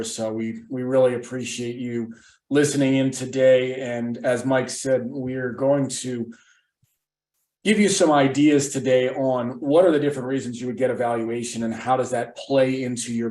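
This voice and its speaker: A man's voice: medium pitch, darkish tone, roomy sound. A man presenting a webinar as a financial advisor, speaking conversationally with frequent restarts.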